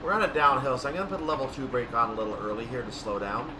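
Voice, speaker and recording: A man's voice: mid-range voice, racing. A man giving casual, methodical gameplay commentary, narrating each action step by step as he goes. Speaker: gaming video host. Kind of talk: casual live train simulator commentary. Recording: distant mic with loud steady background noise.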